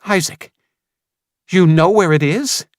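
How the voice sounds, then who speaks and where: A man voicing a worried mother in an audiobook, gentle but firm and questioning. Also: slow pace, no echo, studio quality. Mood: alarmed. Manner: gentle, questioning, pitched up when upset.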